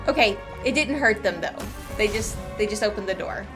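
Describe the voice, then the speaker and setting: A woman's voice: mid-range voice, lively intonation, normal speed. A woman doing casual gameplay commentary as a streamer, chatty, playful and gushing. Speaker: game streamer. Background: game music.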